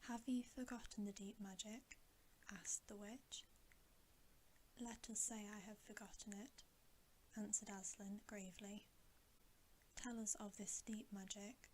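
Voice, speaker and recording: A woman whispering a bedtime story in a hushed, breathy, gentle and unhurried ASMR style. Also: medium pitch, steady tone, slight background noise, poor audio. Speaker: ASMR reader.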